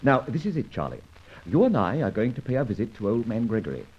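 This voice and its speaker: A man acting a suave, self-assured gentleman adventurer in an old-time radio drama, breezy and urbane in his old-fashioned phrasing. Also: mid-range voice, very animated, fast, husky. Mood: brisk, determined. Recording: dull, lo-fi old radio recording.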